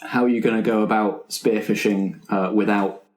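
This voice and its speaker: A man's voice: lowish voice, fairly even intonation, moderate pace, a little mellow. A man acting as game master, running a tabletop roleplaying game and guiding the players. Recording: roomy, echoing room.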